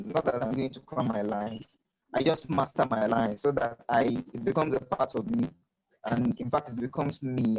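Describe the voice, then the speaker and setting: A man with a Nigerian accent speaking as a poet on a panel, explaining at a measured pace with many fillers and restarts. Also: medium pitch, vocal fry, a little mellow, low-quality recording. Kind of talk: panel discussion talk. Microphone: video call.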